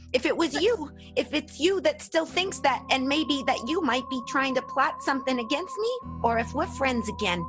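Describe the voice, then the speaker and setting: A woman's voice: high voice, a bit bright. A woman playing a naive, scheming apprentice werewolf with rustic, folksy speech in an improvised radio play.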